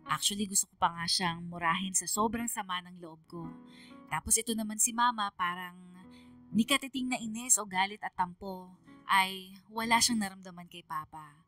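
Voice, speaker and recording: Woman as young daughter telling her story, bright voice, heartfelt, Filipino accent, slightly distant, music bed. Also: mid-range voice, close to an everyday voice. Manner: heartfelt, earnest, sentimental. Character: sentimental young adult daughter reading her heartfelt letter. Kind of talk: dramatized letter narration on radio.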